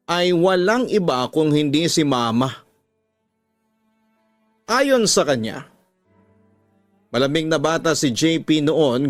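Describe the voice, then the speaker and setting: A man's voice: medium pitch, dry recording. A man with a Filipino accent reading a listener's letter as a scripted, dramatic radio love story.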